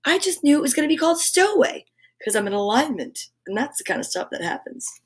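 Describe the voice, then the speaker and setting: A woman's voice: high voice, big pitch swings, fast talker. A woman hosting a live children's story show, effusive and encouraging, chatting with playful asides and reading aloud.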